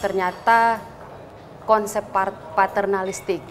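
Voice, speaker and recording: A hoarse woman answering interview questions in hesitant, searching phrases. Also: mid-range voice, steady tone, slow. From Gorontalo, Indonesia. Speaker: interview guest from a university. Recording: clearly noisy background.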